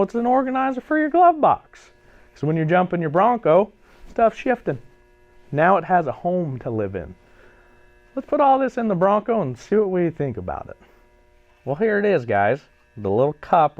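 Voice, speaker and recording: A hoarse man hosts a casual vlog with a folksy, joking delivery and lots of dry, tongue-in-cheek asides. His voice sits a bit high and swoops up and down freely. The sound is dry and slightly muffled. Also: darkish tone, no room sound. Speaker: vlog host. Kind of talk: casual product review vlog.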